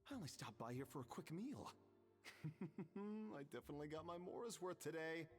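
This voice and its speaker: A man playing a delighted, excitable onlooker in a video game, with a gushing, amused manner. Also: fairly high pitch, normal speed. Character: delighted, excitable male onlooker, casual diner. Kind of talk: English video game voice acting. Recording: reverberant, game music behind.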